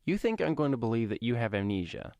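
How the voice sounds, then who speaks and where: A man's voice: mid-range voice, sing-song, fast talker, a little mellow, dry recording. Man playing a sardonic, exasperated superhero's brother. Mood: mocking, irritated. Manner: mocking, exasperated.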